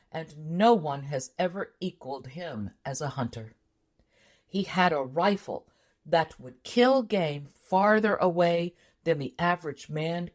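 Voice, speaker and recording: A woman's voice: on the low side, darkish tone. A woman reading a tall tale aloud as scripted storybook narration. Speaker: audiobook narrator.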